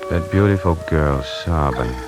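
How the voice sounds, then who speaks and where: A man's voice: a bass voice, moderate pace. An actor playing a streetwise salesman, narrating in a colloquial, wry way in 1940s radio acting. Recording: vintage 1940s radio broadcast with music.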